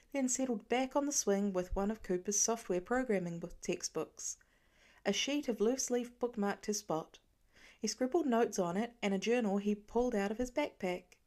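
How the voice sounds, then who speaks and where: A woman's voice: medium pitch, darkish tone. A woman reading a story aloud as scripted audiobook narration. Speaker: fan fiction audiobook reader.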